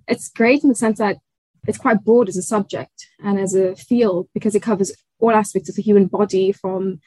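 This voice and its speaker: A young woman from Tanzania, a research fellow, answering interview questions in a thoughtful way, often pausing with fillers and restarting sentences. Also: mid-range voice, fairly even intonation, a little mellow, slightly rough, no echo, low-quality recording. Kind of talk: conversational interview.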